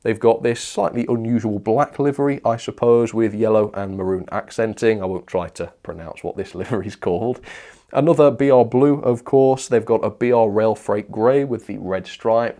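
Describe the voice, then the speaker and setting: A man's voice: lowish voice, warm tone. A man presenting hobby news in an informal review style, chatty, enthusiastic and ready with his opinions. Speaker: presenter of a model railway news show. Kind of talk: informal product news review to camera or as voice-over.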